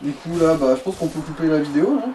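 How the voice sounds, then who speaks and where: A man with a soft-toned, fairly high voice chats casually as a gaming sidekick. He gives tips and teases in an informal way, a little away from the mic with some background noise. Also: expressive, fast, distant mic, poor audio. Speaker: co-commentator giving game advice. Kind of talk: casual gameplay chat.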